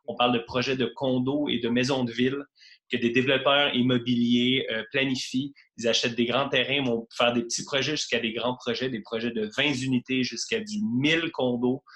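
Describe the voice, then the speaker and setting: A man's voice: mid-range voice, steady tone, brisk, a bit bright. A man in his thirties from Quebec with a Quebec French accent, chatting at ease as a podcast guest, with many fillers and restarts. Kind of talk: casual remote podcast interview.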